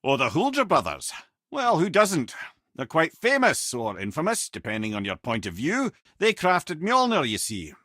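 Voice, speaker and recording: A man's voice: fairly high pitch, huge pitch swings, moderate pace, pushed and bright, no echo, studio quality, exaggerated voice. A man playing a wry, worldly-wise old storytelling sage with a Scottish accent in a video game. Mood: wry, teasing. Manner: wry, lilting, theatrical storyteller. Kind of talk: video game character acting, storytelling and banter.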